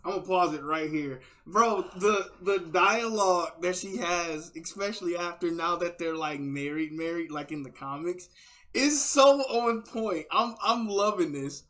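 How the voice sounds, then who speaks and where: High-pitched man reacting excitedly. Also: a little slow. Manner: excited, slangy, repeats words. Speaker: reaction video host. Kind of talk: casual reaction commentary.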